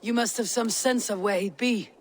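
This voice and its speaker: Man playing a high-pitched, blunt Viking raider. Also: moderate pace, close to an everyday voice. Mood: businesslike. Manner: blunt, clipped. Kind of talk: dramatic video game acting.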